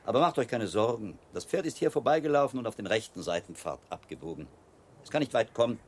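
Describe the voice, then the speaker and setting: An actor playing a shrewd, middle-aged learned friar in a radio play, a wry and self-assured mentor who explains things precisely. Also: male voice, medium pitch, lively intonation, fast talker, breathy edge. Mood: courteous, assured.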